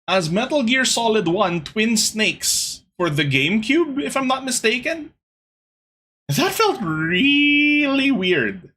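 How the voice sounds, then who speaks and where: Young male podcast host, slightly high, husky delivery, animated casual rant to his chat. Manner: animated, exasperated ranting with laughs.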